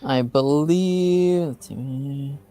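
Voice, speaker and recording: A man running a tabletop role-playing game, talking in a halting way with lots of fillers. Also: fairly high pitch, dark tone. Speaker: game master.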